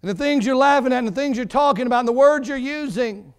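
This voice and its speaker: High-voiced fervent preaching man. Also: quick pace, clear, resonant tone. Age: 40s. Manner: fervent preaching with repeated phrases, swinging between quiet and loud. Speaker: pastor. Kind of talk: sermon.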